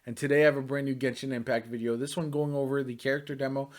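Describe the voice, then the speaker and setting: A man's voice: medium pitch, level tone, quick pace. Chatty male gaming YouTuber. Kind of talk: casual reaction and commentary to camera.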